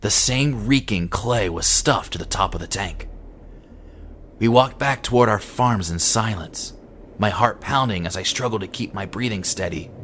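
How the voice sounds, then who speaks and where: Low-voiced man narrating horror. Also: moderate pace, a bit bright, a little hiss or hum. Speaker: amateur audiobook narrator. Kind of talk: scripted first-person horror story narration.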